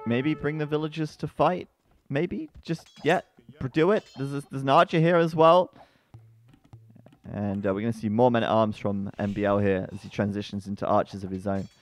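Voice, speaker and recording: A man commentating a strategy game match live, chatty and full of fillers, breaking into excited outbursts at the action. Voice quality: bassy-sounding voice. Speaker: esports commentator.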